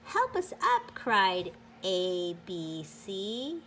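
A woman teacher reading a picture book aloud to children in a deliberate, sing-song, emphatic way. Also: mid-range voice, very animated, fairly bright tone, a little hiss or hum.

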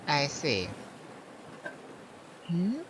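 A performer acts out a proud male siren of the sea in an ASMR audio roleplay. The delivery is slow and theatrical, with a widely swinging melody as the siren teases a single listener. Beach ambience sits clearly behind the voice. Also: medium pitch, full character voice. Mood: wistful. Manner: theatrical, unhurried, teasing, addressing one listener. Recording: steady background noise of beach ambience. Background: beach and ocean sounds.

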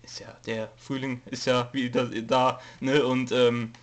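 Young dude with a cold, lots of ähms, jokey channel update, normal pitch. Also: male voice, little pitch movement, unhurried. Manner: hesitant, many fillers, reads from a note, jokey. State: has a cold, coughing. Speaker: YouTube let's player giving a channel update. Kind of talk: casual rambling info video.

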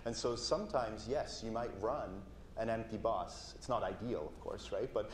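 A man's voice: fairly low pitch, moderate pace, slight background noise. A man in his thirties from Switzerland, speaking in a friendly, chatty way as a panelist on a conference stage. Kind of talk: panel discussion. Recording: roomy hall from far off.

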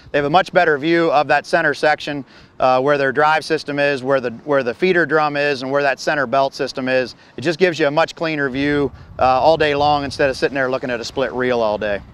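Clear-voiced man demoing farm machinery outdoors. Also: a little high, fairly bright tone. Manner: plain explanatory, frequent uh fillers and restarts. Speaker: farm equipment company representative. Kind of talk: product walkaround demo. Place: outdoors on a grassy field beside a combine. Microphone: lapel mic.

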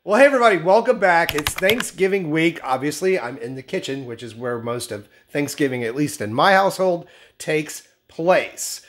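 A man in his thirties talks straight to the camera from his home kitchen, reviewing skincare products with bright enthusiasm. His voice sits slightly high for a man and carries a nasal, scratchy edge left over from a recent cold. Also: animated, moderate pace. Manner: upbeat, enthusiastic, addresses viewers directly. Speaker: skincare channel host. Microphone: camera at medium framing, seated facing lens.

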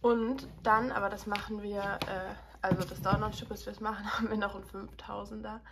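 A woman's voice: mid-range voice, level tone, brisk, background noise. A young woman chatting casually to the camera as a vlogger at home, rambling a little with fillers. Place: apartment living room and kitchen.

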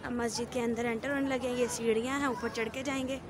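A woman's voice: on the high side, steady tone, fast. A woman companion chatting casually and asking questions during an outdoor visit. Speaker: companion of the vlogger. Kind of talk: casual chat. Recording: outdoor phone recording with crowd noise.

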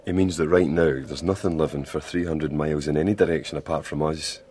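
An actor playing a grim, resolute leader of a trapped Antarctic research crew in a radio drama, terse and commanding. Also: male voice, very deep voice, fast. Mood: grim, controlled. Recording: noisy drama soundscape, steady ambience.

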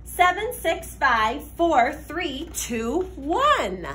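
High, bright, very animated woman reading aloud. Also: slight background noise. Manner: expressive, sing-song, deliberate reading. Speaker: teacher reading aloud to children. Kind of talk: animated children's picture-book read-aloud. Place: classroom, indoors.

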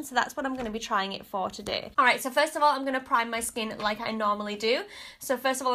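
A woman's voice: on the high side, bright, forceful tone. A woman in her thirties with an Australian accent, sounding stuffy and congested, chatting frankly through a makeup review at her home vanity. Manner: chatty, frank, often hedging with kind of and like. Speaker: beauty vlogger. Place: home bedroom vanity with mirror lights, indoors.

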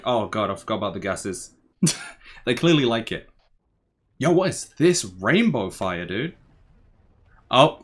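A young man giving casual, slangy reaction commentary, musing aloud in a laid-back, tired, low-energy way. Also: a bit bright. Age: young adult. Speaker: anime reaction host. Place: small bedroom, indoors.

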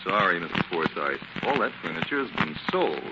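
An actor playing an outwardly obliging but scheming furniture dealer in a 1940s radio drama. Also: male voice, low-pitched, sing-song, a little fast. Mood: smooth, obliging. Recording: noisy, muffled 1940s radio recording with clicks.

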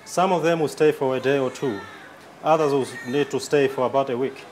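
A man's voice: mid-range voice, a little fast, darkish tone. A middle-aged man, a clinician, explaining instructions to camera in a hospital room. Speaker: clinician presenter. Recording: faint room noise.

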